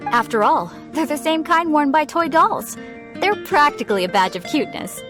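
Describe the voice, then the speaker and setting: Female game shopkeeper, fairly high voice, chatty, obliging, salesy, music behind. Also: big pitch swings, moderate pace. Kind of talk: anime game voice acting.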